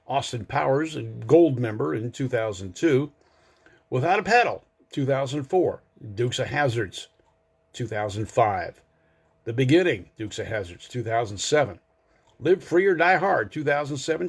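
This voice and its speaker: An American man, middle-aged or older, hosts a live show for car enthusiasts. His voice sits at an ordinary male pitch. He talks in a folksy, conversational way, addressing his listeners directly as he moves through trivia and chat.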